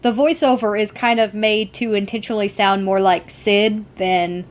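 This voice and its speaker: Woman podcast host, medium pitch, chatty and into it, casual episode talk. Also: fairly even intonation, moderate pace.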